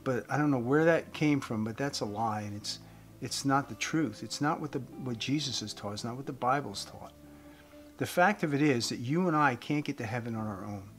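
A man in his fifties giving an earnest evangelistic talk to camera as a host, phrasing deliberately with emphasis. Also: medium pitch. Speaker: host giving a faith message. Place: indoors, room with wooden beams. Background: guitar music.

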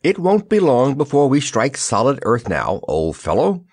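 A man voicing a cheerful, gallant wizard from a storybook, calling out loudly and heartily. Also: fairly high pitch, very animated, measured pace, no echo, studio quality, pitched above the performer's own voice, heavily acted. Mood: bright, hearty. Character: cheerful, gallant old wizard shouting optimistically.

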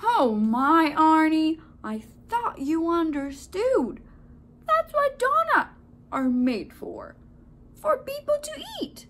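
A woman voicing a cheerful, matter-of-fact baker in a children's storybook, loud, hearty and singsong. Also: very high voice, big pitch swings, takes it slowly, voice pushed up, exaggerated voice.

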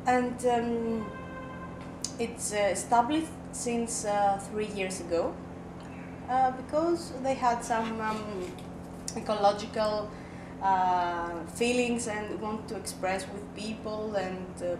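Greek woman activist talking with lots of uhs, roomy echo, mic kinda far, some noise. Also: medium pitch. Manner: halting, frequent fillers. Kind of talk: interview answer. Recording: echoey room, mic far off, noisy.

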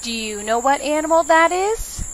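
High, animated woman reading for preschoolers. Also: moderate pace, a little hiss or hum. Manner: simple questions and instructions for young children. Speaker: children's librarian reading stories. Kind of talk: storytime narration for preschoolers.

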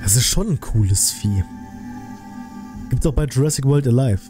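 A man's voice: mid-range voice, lively intonation, normal speed, over music. A man in his thirties giving casual, slangy live reaction commentary as a video host. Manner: colloquial, slangy.

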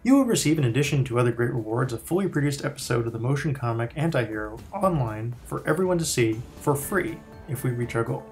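A man with a medium-pitched voice narrates a project pitch. The delivery is scripted and promotional, with soft music underneath. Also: lively intonation, quick pace, hardly any pauses. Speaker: writer-director presenting his project.

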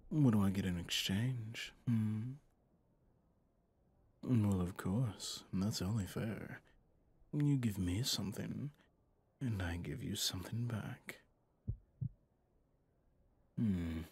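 A man playing a flirtatious, possessive mafia boss in an intimate ASMR roleplay, teasing and menacingly smooth, with a husky, breathy delivery. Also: darkish tone, dry recording, exaggerated voice. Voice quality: low, husky, often dropping to a whisper. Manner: slow, teasing, intimate, with pet names. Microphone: close studio microphone, dry.

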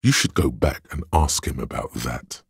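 A man voicing a video game's inner voice of logic, measured, dry and condescending, narrating to the player in a gravelly, husky way. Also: extremely low pitch, dry recording, exaggerated voice. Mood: measured, analytical. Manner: measured, deliberate, dry, superior. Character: inner voice of cold logic, a man's analytical, condescending mind.

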